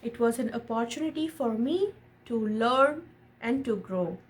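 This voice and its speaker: A woman reading a picture book aloud in an expressive storytelling style, pausing to explain and ask questions of a child and viewers. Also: on the high side. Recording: noticeable background noise.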